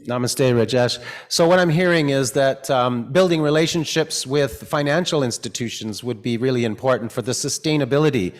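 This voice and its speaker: A man's voice: mid-range voice, moderate pace, few pauses. A man facilitating a workshop panel, putting questions to speakers and restating their answers to check he has understood. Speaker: session facilitator. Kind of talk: hosting a conference workshop session.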